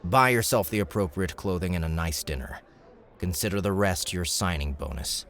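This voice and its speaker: A man's voice: low-pitched, normal speed, a bit bright. A man voicing a shrewd, smug young noble in an audiobook, speaking in a glib, formal, commanding way. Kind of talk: audiobook character dialogue.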